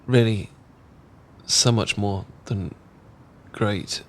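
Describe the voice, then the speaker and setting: A British man playing a shy, tender roommate in a romantic ASMR audio roleplay, speaking in a gentle, halting, earnest way. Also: lowish voice. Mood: nervous, earnest. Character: shy, tender male roommate confessing love.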